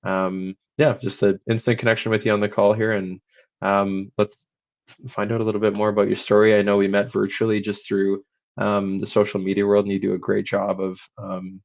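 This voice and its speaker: Man, podcast host, flat gravelly voice, dry dull lo-fi sound. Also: low voice, no echo. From Canada. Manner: rambling, hesitant, many fillers and restarts. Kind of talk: casual podcast interview.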